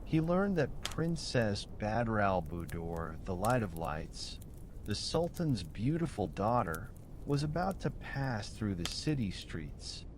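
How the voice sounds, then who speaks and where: A man narrating a bedtime story in a soothing, measured, deliberate storybook style. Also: fairly low pitch, sing-song, slight background noise, rough recording.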